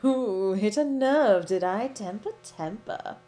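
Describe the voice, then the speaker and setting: A woman's voice: mid-range voice, animated, takes it slowly, warm tone. A woman playing a bored, taunting supervillain in a superhero audio roleplay, drawling and mocking theatrically. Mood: gleeful taunting.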